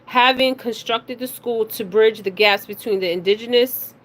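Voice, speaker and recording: A woman hosting a casual spiritual reading, speaking haltingly, stumbling over words and often checking in with her listeners. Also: on the high side, fairly bright tone. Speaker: spiritual reader and card reader hosting her own video. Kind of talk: casual psychic reading, reading news details aloud. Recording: clearly noisy recording with background ambience.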